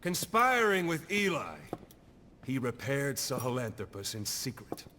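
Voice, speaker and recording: A man's voice: medium pitch, big pitch swings, a little slow, bright, forceful tone, big-room sound, slight background noise. A male actor playing a stern military accuser in a video game cutscene, setting out charges in a grave, formal manner. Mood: grave, accusing.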